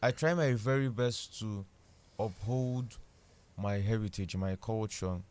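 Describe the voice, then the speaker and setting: A young man with an Igbo Nigerian accent, a guest in a relaxed radio interview, thinking aloud as he talks. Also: on the low side, unhurried, clean tone. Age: young adult. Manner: thoughtful, repeats words while searching. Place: radio studio.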